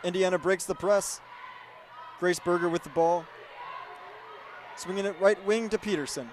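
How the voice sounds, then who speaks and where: A man in his twenties calls basketball as a radio play-by-play commentator. His voice sits high for a man, and there is faint noise from the gym behind him. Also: normal speed. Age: 20s. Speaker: student sports broadcaster and reporter. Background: basketball game ambience.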